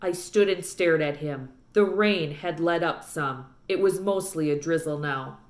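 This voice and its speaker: A woman's voice: deep voice, a little slow. A woman narrating a children's book aloud expressively, recorded with roomy echo.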